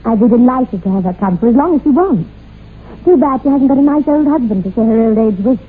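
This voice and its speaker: High-voiced, intense young woman. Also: animated, fast, breathy edge. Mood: pleasant, affectionate. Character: haunted, intense young woman, devoted to her love. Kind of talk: old radio horror drama acting. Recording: tinny, noisy old radio recording.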